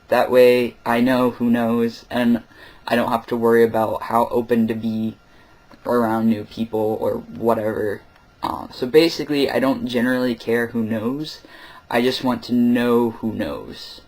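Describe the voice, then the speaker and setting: A young man records a casual vlog at home in the middle of the night. He talks candidly and with a wry edge, filling his pauses with ums and uhs. His voice sits at a middling pitch for a man. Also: poor audio. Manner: candid, rambling with many fillers, wry. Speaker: vlogger.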